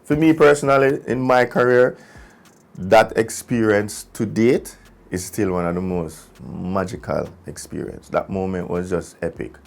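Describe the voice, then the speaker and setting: A Jamaican man, a musician, telling a story casually in an animated way, with patois phrasing. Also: on the low side, a little slow, gravelly. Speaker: musician, singer in a group.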